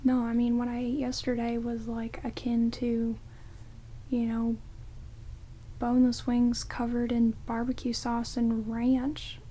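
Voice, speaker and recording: Woman playing a slow, whispering, sarcastic vampire woman. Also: a little high, completely flat delivery, natural voice. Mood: dry teasing. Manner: soft-spoken whispering, dry, snarky, casual. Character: rude, sarcastic female vampire grudgingly tending wounds. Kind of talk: whispered ASMR roleplay. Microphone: close binaural microphones. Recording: hissy rain noise on binaural mics.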